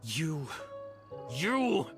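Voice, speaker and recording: An actor playing a boastful, petulant bandit thief in a video game, indignant and exclamatory. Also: male voice, fairly high pitch, very animated, extremely slow, over music, exaggerated voice. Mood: frustrated. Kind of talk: animated game villain acting.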